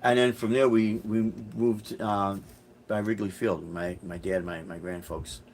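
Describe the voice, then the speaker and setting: A man's voice: on the low side, fairly even intonation, unhurried, a little mellow, a little hiss or hum. A middle-aged man from Chicago chatting casually on a live stream, answering viewer comments in a rambling, hesitant way with many restarts. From Chicago, USA. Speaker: musician hosting a live stream.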